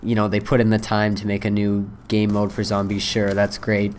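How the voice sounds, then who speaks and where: A hoarse young man chatting about a game in a low, fairly flat voice. He rambles loosely, filling pauses with ums and uhs. A faint hum sits underneath the recording. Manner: rambling, many fillers. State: hoarse from a cold. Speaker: gaming video creator. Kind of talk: casual first-impressions talk.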